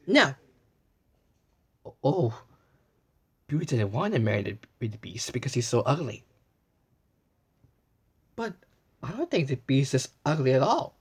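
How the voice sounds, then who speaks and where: A man's voice: medium pitch, breathy edge. A man reading a storybook aloud as a storyteller, gasping, stumbling over words and adding his own comments along the way. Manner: expressive, gasps and chimes in with his own asides.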